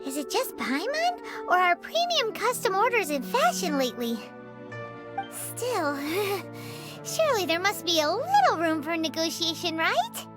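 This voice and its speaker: A woman's voice: very high-pitched, very animated, a little slow, music in the background, full character voice. A woman voicing a tiny, chirpy female companion creature who talks about herself by name.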